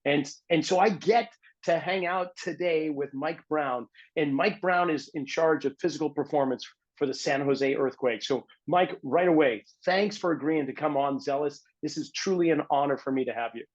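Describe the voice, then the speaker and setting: A man's voice: fairly high pitch, moderate pace, dull audio. A man from New England hosting a podcast interview, chatty and enthusiastic about the sport. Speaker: podcast host.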